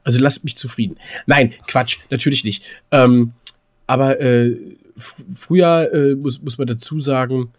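A man's voice: mid-range voice, fairly even intonation, moderate pace. A man co-hosting a casual, rambling podcast, often stammering and repeating words with a jokey, teasing manner. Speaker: podcast co-host.

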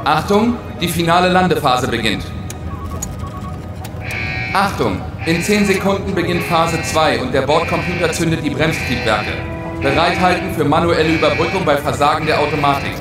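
A man's voice: fairly high pitch, moderate pace, over loud music, close to an everyday voice. Man acting dutiful technician in echoey control room. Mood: dutiful, focused. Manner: formal, crisp reporting and countdowns. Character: dutiful male Chinese space mission technician. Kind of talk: scripted German audio drama acting.

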